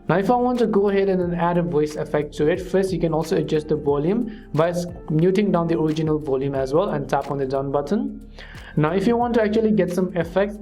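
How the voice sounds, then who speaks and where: A man hosting a tutorial channel, calmly walking through app steps one by one in a scripted voice-over. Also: a little high. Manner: steady step-by-step instructions with filler phrases. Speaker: tutorial host. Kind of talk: scripted screen-recording tutorial.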